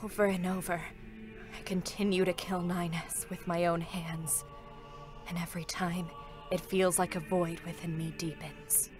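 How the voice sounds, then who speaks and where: Stoic female android executioner played by a woman, lowish voice, solemn and sorrowful restraint, music underneath. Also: level tone, a little slow, lightly acted. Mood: anguished, weary. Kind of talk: dramatic scripted monologue reading.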